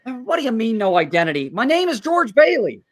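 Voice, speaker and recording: A man's voice: very high-pitched, huge pitch swings, brisk, no echo, clean studio sound, exaggerated voice. A man playing a bewildered, distressed family man, stammering and agitated, in old-time radio play style. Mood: distraught.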